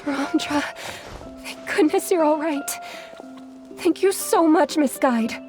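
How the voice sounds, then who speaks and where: Timid, apologetic young woman, high-ish voice, game scene. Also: unhurried. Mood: relieved. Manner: earnest, apologetic. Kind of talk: video game voice acting. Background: faint game music.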